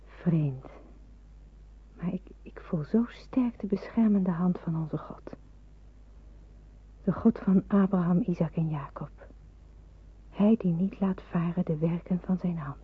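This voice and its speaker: Breathy, husky, subdued refugee woman. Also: fairly low pitch, slow, long pauses, heavily acted. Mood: reflective, devout. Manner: soft, hesitant, earnest. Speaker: actress in a radio play. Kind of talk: Dutch radio drama acting. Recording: muffled, phone-like old radio recording.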